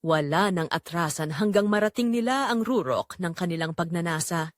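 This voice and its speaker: A woman's voice: fairly low pitch, moderate pace, dry recording, clean studio sound. A woman narrating a scripted audio drama in a dramatic, suspenseful way.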